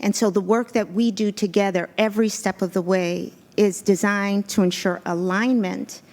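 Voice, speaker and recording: A woman's voice: medium pitch, fairly even intonation, darkish tone. Earnest woman presenting to a board. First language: Spanish. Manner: measured, earnest, grateful. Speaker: executive search consultant presenting to a school board. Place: board meeting room.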